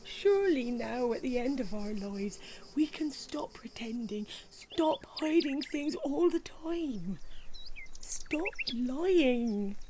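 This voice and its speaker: Fretful, high-voiced older wife. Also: female voice, lively intonation, takes it slowly, dark tone, a little hiss or hum. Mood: distressed, pleading. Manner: fretful, pleading. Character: anxious, jealous, pleading older wife. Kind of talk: audio drama acting.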